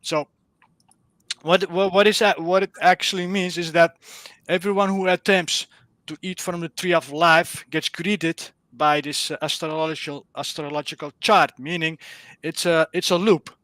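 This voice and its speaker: Dutch-accented male caller, fairly high and bright, dry close sound, earnest and groping for words. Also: fairly even intonation, moderate pace, dry recording. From Netherlands. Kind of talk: casual explanation on a live stream.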